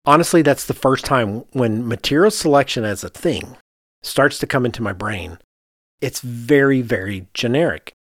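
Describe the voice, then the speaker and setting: A man's voice: mid-range voice, expressive, moderate pace, a bit bright, dry recording. Man thinking aloud on a casual podcast, dry sound. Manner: thinks aloud, acts out his own reasoning in quoted asides. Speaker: podcast host; architect.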